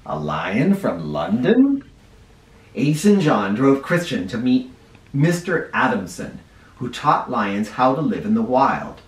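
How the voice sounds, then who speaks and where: A man's voice: mid-range voice, slow. A man who teaches first grade, reading a children's picture book aloud and stopping now and then to explain words to young listeners. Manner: expressive, stops to explain words to kids. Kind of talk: children's read-aloud with asides. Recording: roomy echo, mic at a distance.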